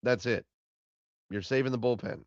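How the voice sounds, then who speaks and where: A man with a raspy voice, hoarse from being sick, hosting a solo postgame recap podcast and rambling and repeating himself. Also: mid-range voice, normal speed, no room sound, lo-fi sound. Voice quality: raspy, fading voice. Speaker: podcast host.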